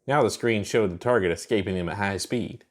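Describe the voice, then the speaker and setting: A man's voice: on the low side, a little fast. A man reading scripted fiction narration as the narrator of an audiobook story.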